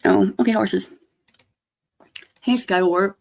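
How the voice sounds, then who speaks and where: A woman livestreamer, hoarse, chatting casually and rambling to her viewers, often trailing off. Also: mid-range voice, fairly even intonation, takes it slowly. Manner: rambling, trailing off, soft-spoken.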